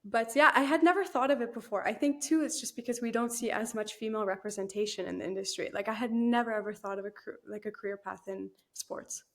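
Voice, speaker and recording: A woman's voice: fairly high pitch, fast, hardly any pauses. A young woman, chatting as a guest in an interview, laughing easily and using lots of fillers. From Canada. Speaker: guest, new team member. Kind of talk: casual interview chat.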